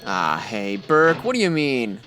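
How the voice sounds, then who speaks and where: A skeptical crime photographer in a radio drama. His medium-pitched voice moves around a lot, wry and knowing, with noticeable noise behind it. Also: huge pitch swings, unhurried. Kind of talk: old-time radio drama acting.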